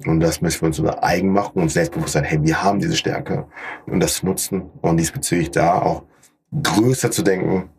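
Low, husky young man. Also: steady tone, fairly quick, darkish tone. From Paderborn, Germany. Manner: mixes English business words into speech. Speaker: podcast guest, startup founder. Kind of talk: conversational interview answers.

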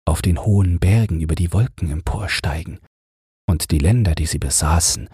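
A man narrating an audiobook fairy tale for bedtime in a soft, breathy voice, soothing and measured. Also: low voice, dry recording, clean studio sound. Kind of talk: calm bedtime story narration.

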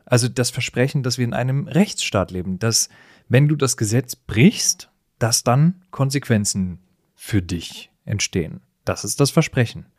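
A man's voice: lowish voice, a little mellow, husky, dry recording, clean studio sound. A man in a conversational podcast discussion, explaining things with emphatic phrasing. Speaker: podcast co-host.

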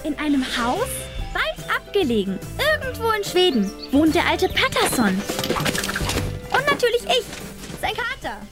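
Cheeky young tomcat, very high childlike voice, wildly swooping intonation, film storytelling, loud music behind. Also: female voice, huge pitch swings, moderate pace, heavily acted. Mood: proud storytelling. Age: child of about twelve. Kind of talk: scripted film voice acting.

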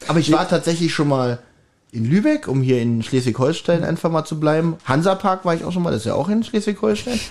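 A man with a fairly high voice that swings widely in pitch. He talks as a podcast host in a casual, conversational style. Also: expressive, fast, darkish tone. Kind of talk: casual chat.

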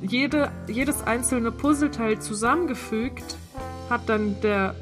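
A woman in her twenties co-hosting a podcast in casual chat, giggly and excitable. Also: on the high side, slow pace, soft background music. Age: 20s. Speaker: podcast co-host.

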